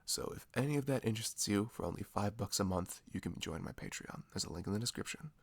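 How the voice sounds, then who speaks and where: A man's voice: lowish voice, expressive, fast talker, gravelly, breathy edge, no echo. A man making a casual, chatty announcement as an audio creator introducing his show. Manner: chatty, informal.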